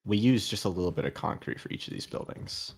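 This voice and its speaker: Deep-voiced male gamer, gravelly fry, dry offhand humor, voice chat. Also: animated, quick pace. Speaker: co-op gaming partner. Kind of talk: casual gaming chat.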